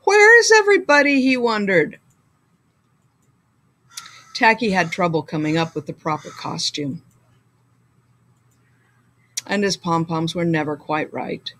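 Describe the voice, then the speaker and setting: A woman reading a children's story aloud like a school librarian, with deliberate, expressive delivery. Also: fairly low pitch, poor audio.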